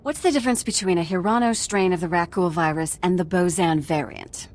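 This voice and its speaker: An actress playing an exasperated, skeptical scientist in a video game, blunt and wary. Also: female voice, lowish voice, a little fast, close to an everyday voice.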